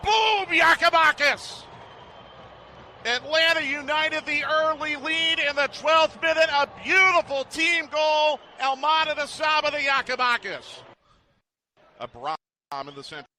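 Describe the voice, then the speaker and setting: A man's voice: very high voice, expressive, measured pace. A man calling a live soccer match as a radio play-by-play commentator. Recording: loud stadium noise behind commentary. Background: stadium ambience.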